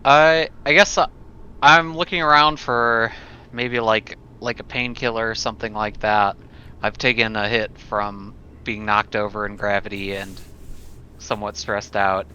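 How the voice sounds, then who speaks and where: A man playing a tabletop role-playing game, talking casually and haltingly as he states what his character does. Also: on the low side, flat delivery, pushed and bright, smooth, dull audio.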